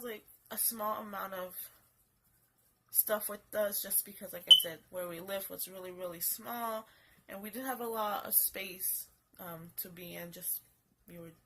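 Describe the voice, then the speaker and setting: A young woman telling a story in a casual vlog, rambling with fillers and restarts, her voice a little raspy because she is getting sick. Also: mid-range voice, sharp tone, a little hiss or hum. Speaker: vlogger. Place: home, alone. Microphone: phone camera.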